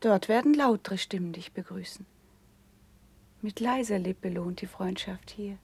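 An actress playing a gentle, noble princess in a classical radio verse drama, speaking softly and breathily with tender restraint. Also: female voice, medium pitch, measured pace, dry recording. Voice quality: soft, breathy, often near whisper.

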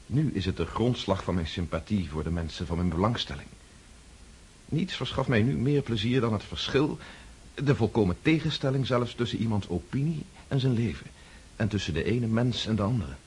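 A man's voice: lowish voice, lively intonation, moderate pace, a little mellow, a little hiss or hum, natural voice. A man playing an earnest reflective nobleman. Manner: earnest, thoughtful and inward. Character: reflective male nobleman recovering from war. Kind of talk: radio drama acting.

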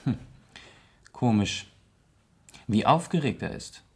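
An actor in a German radio play playing a composed aristocratic gentleman detective, polite and matter-of-fact. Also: medium pitch, lively intonation, takes it slowly, slightly rough, exaggerated voice. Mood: casual, curious.

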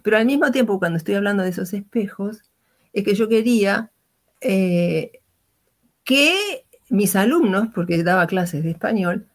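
A woman in her sixties with a Uruguayan Spanish accent, a retired teacher telling her life story in a hesitant, reflective way full of fillers and restarts. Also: mid-range voice.

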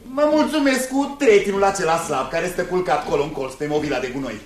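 An actor playing a bold young hero, confident and teasing, in 1960s radio drama acting. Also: male voice, fairly high pitch, animated, lightly acted. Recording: hissy, roomy 1960s radio recording.

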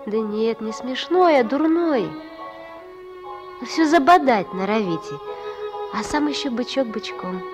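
An actress playing a tender, gently teasing young mother with a folksy, affectionate manner in a Russian radio play. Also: a little high, expressive, a little slow, pauses often, over music.

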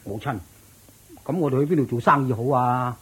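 An actor playing a blunt, naive young son who blurts and interrupts in a radio drama, with a Hong Kong Cantonese accent. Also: male voice, mid-range voice, brisk, fairly bright tone, breathy edge, low-quality recording. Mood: puzzled. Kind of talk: Buddhist radio drama acting.

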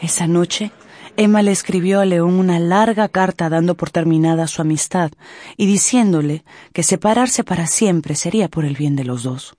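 A narrator telling a radio drama story in an expressive, theatrical way with drawn-out emphasis. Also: medium pitch, no echo, clean studio sound.

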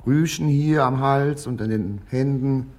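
An actor playing a needy, petulant young man in a radio drama, husky, moving between sulky muttering and bombastic ranting. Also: medium pitch, unhurried, a little mellow, close to an everyday voice. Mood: hesitant, flat. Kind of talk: theatrical radio drama acting.

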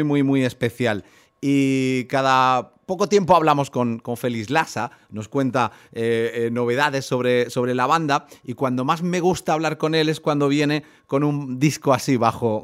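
A man's voice: mid-range voice, normal speed, clear, resonant tone. A man hosting a radio interview in a conversational way, wordy and often repeating words as he goes. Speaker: radio host interviewing a musician. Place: radio programme.

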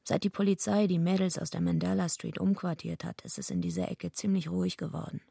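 A husky, heavily creaking tough wrestling villainess tells her story in a radio play. She is blunt and deadpan, a gruff loner with no time for niceties. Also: on the low side, fairly quick, dry recording, clean studio sound, exaggerated voice. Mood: weary, matter-of-fact. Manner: blunt, deadpan first-person narration. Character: tough, gruff female wrestler villain and lonely night watchwoman. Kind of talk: radio play narration and dialogue.

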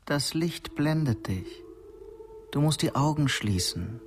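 Measured sleep doctor, lightish voice. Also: male voice, on the high side, expressive, faint music behind. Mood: soothing, guiding. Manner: measured, soothing, guiding. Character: male sleep-lab doctor, calm hypnotic specialist.

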